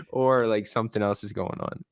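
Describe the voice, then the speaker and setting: A man's voice: mid-range voice, no echo, clean studio sound. A man co-hosting a casual podcast chat, loose and rambling, laughing and slipping into Spanish. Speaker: podcast co-host.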